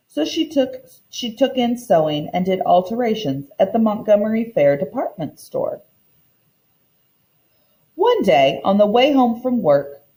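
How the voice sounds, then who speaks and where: A woman's voice: mid-range voice, mellow, rough recording. A woman teacher reading a children's book aloud and explaining it in simple terms for young listeners.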